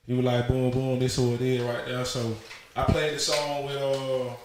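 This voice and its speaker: A man's voice: mid-range voice, flat delivery, normal speed. A man hosting a casual interview, telling a story and acting out the lines of the people in it. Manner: storytelling, acts out quoted lines. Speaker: podcast host. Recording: very echoey room with noise.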